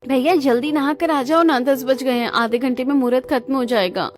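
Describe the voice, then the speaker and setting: A woman's voice: high-pitched, brisk, natural voice. A woman voicing a sweet, devoted younger sister in a family cartoon, with an affectionate, pleading manner. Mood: anxious.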